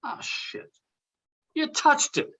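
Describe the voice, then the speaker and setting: A man's voice: slow pace, fairly bright tone. An actor playing an anxious, sardonic older man in a comedy table read, talking in a dry, hesitant, conversational way. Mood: alarmed, exasperated.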